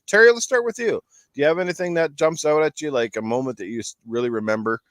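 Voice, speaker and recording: A man hosts a casual panel chat in a fairly high voice. His delivery is slangy and rambling, with a joking streak. The sound is dry and close, with little room on it. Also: quick pace, fairly bright tone, no room sound. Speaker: podcast host.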